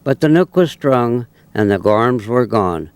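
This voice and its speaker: An older man from Northern California with a rural accent of the region. His voice is smooth and clean, recorded dry, and he talks like an old-timer trading folksy banter and tales. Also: medium pitch, lively intonation, a little slow, a little mellow, dry recording. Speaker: old-timer speaker of a local folk lingo.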